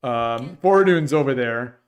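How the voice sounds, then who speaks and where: A man chatting casually on a shogi live stream, reading viewers' messages aloud, his voice getting dry and hoarse from long talking. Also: mid-range voice, expressive, slow pace. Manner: rambling, many restarts, reads chat aloud.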